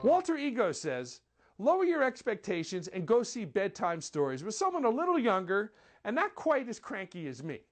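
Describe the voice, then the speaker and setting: A film critic delivering a scripted movie review in an articulate, measured, wry manner. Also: medium pitch, wildly swooping pitch, faint music behind.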